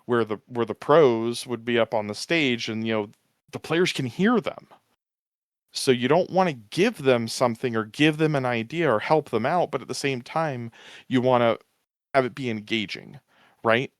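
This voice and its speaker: Guy with a smooth resonant voice, rambling easy chat, dry close mic. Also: mid-range voice, moderate pace, dry recording. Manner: easygoing, rambling, restarts with fillers. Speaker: podcast guest, tournament commentator. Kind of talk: casual interview chat.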